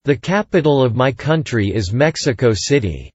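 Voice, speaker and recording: A man's voice: medium pitch, animated, unhurried, husky, dry recording, lo-fi sound. A man reading short English answers for a language lesson in a careful, scripted way. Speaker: English dialogue reader.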